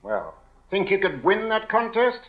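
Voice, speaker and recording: An American man playing a brisk, generous well-to-do father in 1940s radio drama style. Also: high voice, huge pitch swings, exaggerated voice. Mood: brisk, kindly. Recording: muffled 1940 radio broadcast.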